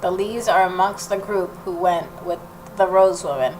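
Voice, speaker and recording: A woman with a fairly low voice hosts a game playthrough. She reads the narration aloud and reacts expressively as she goes, with faint noise behind her. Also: steady tone, normal speed. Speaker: gaming video host. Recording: noticeable background noise.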